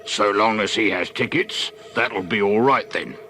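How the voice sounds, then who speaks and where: Man doing a gruff, officious ticket guard. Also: on the low side, a little slow, pushed and bright, slight background noise, voice pushed down. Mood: grudging, firm. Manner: blunt, officious.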